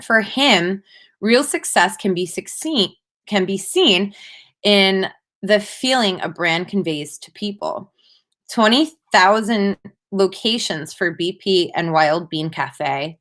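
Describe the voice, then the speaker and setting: A woman delivering a prepared marketing presentation as a conference speaker, in a measured, scripted-sounding way with emphatic phrasing. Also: mid-range voice, fairly bright tone.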